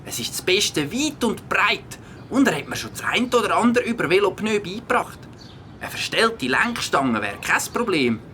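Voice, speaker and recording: A woman playing an eager, helpful young boy with a slightly raspy voice and a Swiss German accent in a children's audio play. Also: mid-range voice, big pitch swings, moderate pace, slight background noise. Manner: bright, eager.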